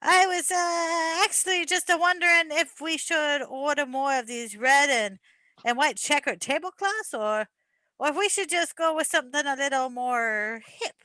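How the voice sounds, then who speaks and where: A woman playing an eager, chatty pizza parlor worker in a comic radio play, fussy, halting and keen to please. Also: high-pitched, bright, forceful tone, no room sound, rough recording. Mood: nervous, fussy.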